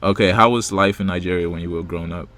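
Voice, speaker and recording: Low-voiced hesitant male podcast host. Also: fairly even intonation, quick pace, a bit bright, dry recording, faint music behind. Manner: hesitant, repeats words. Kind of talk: casual interview.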